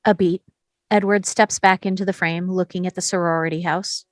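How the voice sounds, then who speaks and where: A woman's voice: fairly low pitch, steady tone, moderate pace, slight background noise. A woman reading scripted stage directions as the narrator of a screenplay table read.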